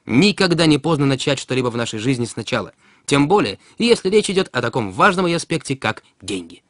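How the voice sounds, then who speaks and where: A man reading a scripted educational explainer in a measured, instructive way, as a narrator for an animated film. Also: mid-range voice, dry recording, studio quality. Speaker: narrator of an educational cartoon about personal finance. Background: background music.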